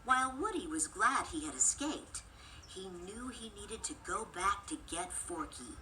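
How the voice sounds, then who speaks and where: A woman reading a scripted children's read-along story in an expressive, measured storytelling manner. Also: mid-range voice, a little hiss or hum, rough recording. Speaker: storybook narrator. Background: music.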